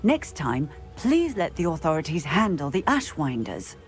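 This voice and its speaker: A woman's voice: sits low, big pitch swings, normal speed, soft background music. A woman voicing a firm, authoritative female officer in a video game cutscene.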